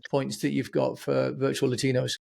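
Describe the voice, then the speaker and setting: A man's voice: medium pitch, completely flat delivery, moderate pace, gravelly, a little mellow. A man hosting a podcast interview, putting questions and comments to his guest in a conversational way.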